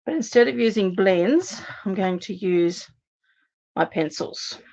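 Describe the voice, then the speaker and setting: Australian woman, crafting live stream host, chatty, slightly worn voice, mid pitch, slightly dull sound. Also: expressive, a little slow, darkish tone. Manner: chatty, thinks aloud, talks to viewers while working. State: slightly losing her voice. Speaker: card-making demonstrator hosting a live stream. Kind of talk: casual live crafting demonstration.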